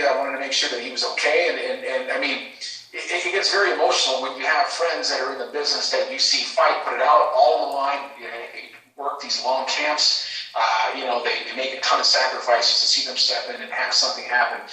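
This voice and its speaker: A man's voice: medium pitch, pushed and bright. A man, a guest and former fight manager, chatting casually and effusively about sports in a rambling way. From Canada. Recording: echoey, distant from the mic.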